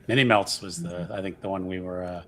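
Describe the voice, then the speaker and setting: A man's voice: deep voice, steady tone, fairly quick, fairly bright tone. A man chatting casually as a player on a tabletop game stream. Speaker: player on an actual play show. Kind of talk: casual chat.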